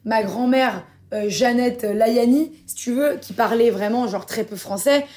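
A woman's voice: fairly high pitch, a little slow, warm tone. A woman, a guest in a casual round-table chat, talking in a casual, slangy way and restarting her sentences often. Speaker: podcast guest.

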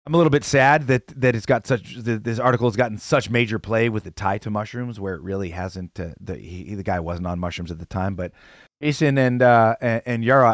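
A man reporting news on a casual talk show, earnest and halting, with many fillers. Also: fairly low pitch, dry recording, studio quality. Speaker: correspondent. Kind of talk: casual panel chat.

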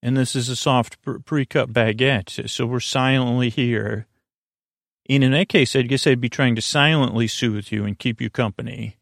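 A man hosting a bedtime podcast, talking in a meandering, halting way with stammers and tangents, meant to soothe. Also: medium pitch, fairly even intonation, creaky, no echo, clean studio sound. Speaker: podcast host.

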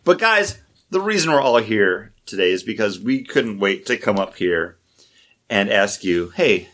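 Relaxed, lower-voiced man chatting. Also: a little slow. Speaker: podcast guest. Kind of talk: casual podcast chat.

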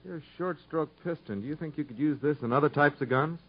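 A man acting a brisk, enthusiastic company businessman and confident dealmaker in a 1950s radio drama. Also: fairly high pitch. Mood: impressed, eager. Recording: muffled 1950s radio broadcast.

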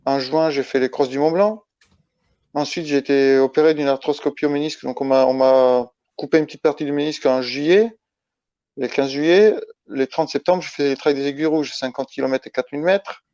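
A man from Italy speaking as a guest in a casual interview, halting and restarting his phrases as he explains. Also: on the high side, steady tone, warm tone. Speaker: interview guest, ultra-trail runner. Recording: dull lo-fi, roomy echo.

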